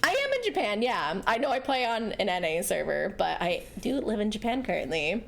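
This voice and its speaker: A woman's voice: medium pitch, very animated, brisk, vocal fry, fairly bright tone. A young woman from rural New York, chatting casually and rambling with lots of fillers while streaming a game. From rural New York, USA. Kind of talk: casual chat while gaming. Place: home, living in Japan.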